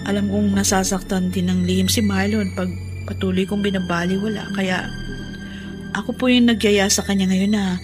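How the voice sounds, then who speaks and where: A woman plays a sincere young wife and mother in a Filipino radio drama. Her good-hearted voice sits at a medium pitch over faint music. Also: level tone, normal speed, lightly acted. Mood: earnest, forgiving.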